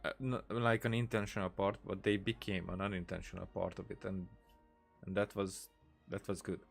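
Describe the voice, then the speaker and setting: Brief: low gravelly man, let's play commentary, halting and thinking aloud. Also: level tone, soft background music. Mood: musing. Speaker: streamer.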